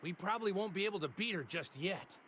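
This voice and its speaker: An actor playing an eager, naive, headstrong hero in an English anime game dub. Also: male voice, sits high, lively intonation, quick pace, fairly bright tone, slight background noise. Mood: earnest, determined. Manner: eager, earnest, emphatic. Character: upbeat, naive, headstrong male martial arts hero.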